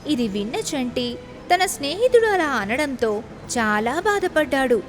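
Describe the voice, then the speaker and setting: A woman's voice: sits high, sing-song, a little slow. A woman narrating scripted moral stories for children as a storyteller.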